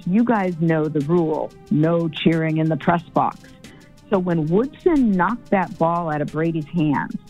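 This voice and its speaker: A woman, a former team executive, telling a story in a documentary interview in a loud, forthright way. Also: mid-range voice, muffled recording.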